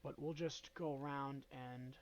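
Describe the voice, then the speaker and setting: A man's voice: medium pitch, fairly even intonation, measured pace, dull audio, slight background noise. Young man with a cold, rambling. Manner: rambling, hesitant, many ums and self-corrections. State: nasal from a cold. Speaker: gaming channel host. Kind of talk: casual game walkthrough.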